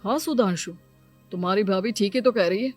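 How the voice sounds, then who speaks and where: A woman's voice: medium pitch, a little fast, darkish tone, soft background music, pitched below the performer's own voice, natural voice. A woman voicing a stern, authoritative elder brother and father who lectures, in a cartoon moral story.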